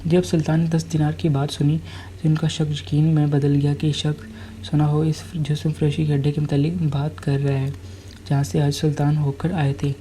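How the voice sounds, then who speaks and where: A story narrator with a very dark, soft-edged voice reads a script in a level, little-varied tone. Words are sometimes stumbled on and repeated. There is faint noise behind the voice. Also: mid-range voice, flat delivery, normal speed, poor audio. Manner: stumbles over and repeats words while reading.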